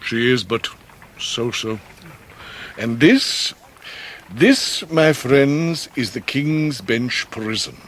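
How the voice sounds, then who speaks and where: An actor playing a pompous, theatrically downcast Victorian English debtor gentleman, grandiloquent and long-winded in a period radio drama. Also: medium pitch, very animated, extremely slow, long pauses, a little hiss or hum, exaggerated voice. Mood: gloomy, indignant. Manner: grandiloquent, orotund, ornate long-winded phrasing.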